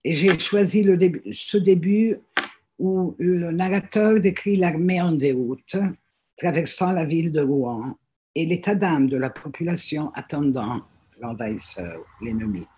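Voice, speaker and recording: A woman's voice: deep voice, lively intonation, measured pace, warm tone, slight background noise. A woman in her seventies, a native French speaker, introducing herself on a video call with frequent fillers and restarts. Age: 70s. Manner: hesitant, many fillers and restarts. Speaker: teacher and guest reader. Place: small room at home, indoors. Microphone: laptop webcam on a video call.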